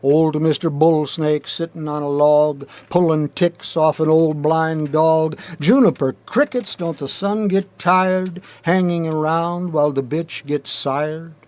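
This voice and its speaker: Slow, higher-pitched man reading poetry. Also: a little mellow. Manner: deliberate, measured, rhythmic delivery with pauses. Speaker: poet reading own work. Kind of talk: dramatic spoken-word poetry reading. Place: recording studio.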